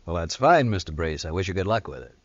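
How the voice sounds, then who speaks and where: A rich, proud gambler of the Old West with a very deep, self-assured voice. He talks plainly, in the muffled, dry sound of a radio western. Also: sing-song, fast talker, dry recording. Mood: smooth, casual. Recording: dull vintage radio recording.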